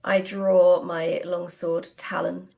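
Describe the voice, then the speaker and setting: Lady rpg player, lowish voice w lots of fry, slow n casual. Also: flat delivery, a little mellow. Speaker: tabletop game player. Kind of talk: casual in-game talk.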